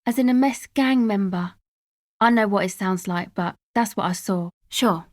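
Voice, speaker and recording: A woman's voice: mid-range voice, moderate pace, no echo, studio quality, close to an everyday voice. An actress voicing a laid-back, guarded young woman from a dance music crowd, speaking casual, slangy video game dialogue with an offhand manner. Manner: offhand, slangy, hesitant asides.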